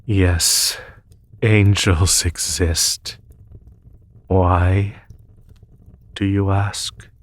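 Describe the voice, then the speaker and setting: A man playing a noble, weary, peace-seeking demon emperor in a fantasy audio roleplay, speaking in a formal, measured way. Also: low voice, flat delivery, no echo. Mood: calm, patient. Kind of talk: fantasy audio roleplay, spoken softly to one listener.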